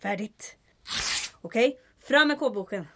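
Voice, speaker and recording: Brisk resistance leader, slightly high voice. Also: female voice, lively intonation, background noise. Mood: brisk, approving. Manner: decisive, commanding. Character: brisk, sly woman leading a resistance codebreaking cell. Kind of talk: audio drama acting.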